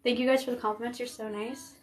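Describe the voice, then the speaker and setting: A woman in her twenties from Maine chatting casually on a live stream while she paints, full of fillers and quick asides. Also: fairly high pitch, flat delivery. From Maine, United States. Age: 20s. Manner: chatty, rambling, with frequent fillers and giggles. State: pregnant. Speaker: makeup artist streaming live.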